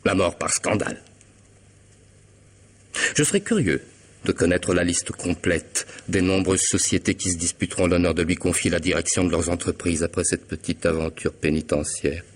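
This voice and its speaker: A man's voice: low-pitched, normal speed. An actor playing a cunning, mocking hitman in a radio mystery, with theatrical irony and precise, formal diction. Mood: sardonic menace. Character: cunning middle-aged hitman, smug, mocking, methodical blackmailer. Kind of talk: French radio mystery drama acting.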